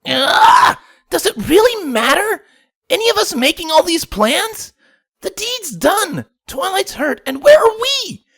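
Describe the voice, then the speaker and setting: Casting: loud, brash young female cartoon pony played by a man, very high shouted delivery, frustrated and anguished. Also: big pitch swings, slow, full character voice. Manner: shouting, exasperated.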